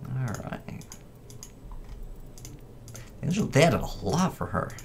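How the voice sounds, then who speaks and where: A man's voice: mid-range voice, very animated, very slow, gravelly, breathy edge. A man hosting a gaming video with casual, informal commentary, rambling a little as he thinks aloud.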